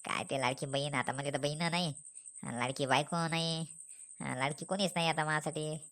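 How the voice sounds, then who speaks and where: A woman plays a roguish old villager in a comic cartoon. He rambles chattily at a fast clip, slightly low in pitch, in a rural Maharashtrian accent. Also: steady tone, a bit bright. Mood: jokey.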